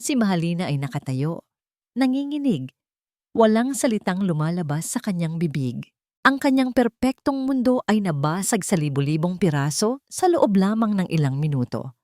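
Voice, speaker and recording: A woman's voice: lowish voice, sing-song, moderate pace, mellow, no echo, studio quality. A woman delivering dramatic scripted story narration.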